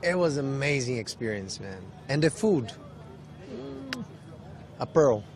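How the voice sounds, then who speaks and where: A man from Brazil, a tourist, speaking in a warm, effusive way. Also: mid-range voice, lively intonation, slow, crowd noise.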